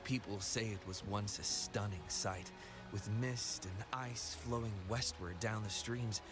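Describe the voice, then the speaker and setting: A man's voice: lowish voice, measured pace, over music, lightly acted. Brief: talkative young male villager, friendly storyteller, game NPC, played by a man. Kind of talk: game voice acting.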